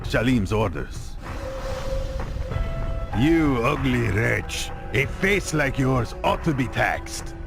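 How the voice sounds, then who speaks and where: Game henchman: leering gravelly brute, unhurried, clear music behind. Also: mid-range voice, big pitch swings, exaggerated voice. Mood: sneering. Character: coarse, leering, bullying male soldier henchman.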